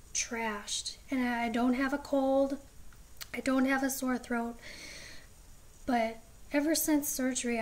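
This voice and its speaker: A woman vlogging a casual update, chatty and rambling with frequent ums, her voice raspy at times. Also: on the high side.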